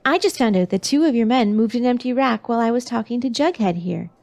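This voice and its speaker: Casting: actress as earnest teen girl, old radio comedy, high with vocal fry. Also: lively intonation, quick pace, a little mellow. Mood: urgent, explaining. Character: earnest, hurried teenage girl. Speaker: actor in an amateur radio play recreation. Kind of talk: 1940s radio comedy acting.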